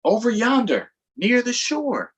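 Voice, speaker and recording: A man voicing an excitable, folksy swamp boat guide in a storybook, wary of a gator and speaking with exaggerated delivery. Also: extremely high pitch, measured pace, husky, lo-fi sound, pitched above the performer's own voice, full character voice. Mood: hushed excitement.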